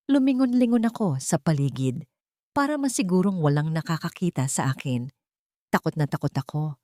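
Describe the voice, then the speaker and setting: A woman with a fairly low voice reads a dramatic first-person story. Her delivery is solemn and emotive, with deliberate phrasing, and the recording is dry and close. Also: a little mellow, dry recording. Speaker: story narrator. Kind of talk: dramatic first-person scripted narration of a romance confession story.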